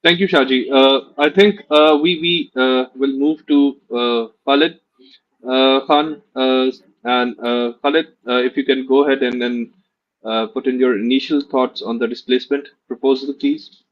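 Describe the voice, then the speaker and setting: A man moderating a live online discussion in a hesitant, halting way. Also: mid-range voice, fairly even intonation. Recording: dull, lo-fi online call.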